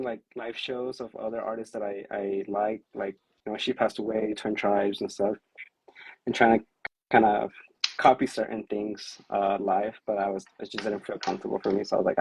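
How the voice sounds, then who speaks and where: A man on a livestream video call, chatting casually and hesitantly with many fillers, with a fairly monotone voice. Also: on the low side, moderate pace, vocal fry, a little hiss or hum, low-quality recording. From California. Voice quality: self-described monotone. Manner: hesitant, many fillers, self-described awkward and anxious. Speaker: musician on a livestream chat.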